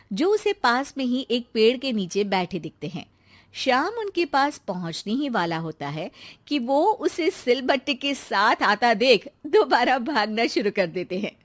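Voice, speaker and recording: A woman narrating a cartoon moral story in a lively storytelling manner. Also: fairly high pitch, no echo.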